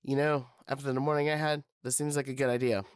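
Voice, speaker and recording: A man plays an easygoing, wiry motorcycle mechanic in an audio drama. He talks politely in a mid-pitched voice, recorded close and dry. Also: flat delivery, quick pace, smooth, no echo, close to an everyday voice. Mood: friendly. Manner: mellow, placating. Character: wiry, laid-back, polite male bike mechanic.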